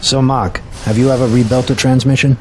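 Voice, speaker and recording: An actor playing a folksy, blunt auto shop owner with a dry, casual manner in an audio drama. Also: male voice, mid-range voice, level tone, brisk, a little hiss or hum, lightly acted. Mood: frank.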